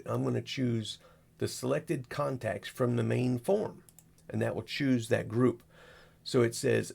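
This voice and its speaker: A man with a gravelly, husky voice giving a casual, unscripted walkthrough as a video host, rambling in a folksy way and narrating each step as he goes. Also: mid-range voice, a little mellow. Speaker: amateur radio operator, video host.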